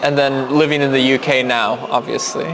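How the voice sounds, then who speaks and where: A man's voice: mid-range voice, steady tone, normal speed, vocal fry. Young man with crowd chatter behind. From United States. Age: 20s. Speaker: product design student. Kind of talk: self-introduction.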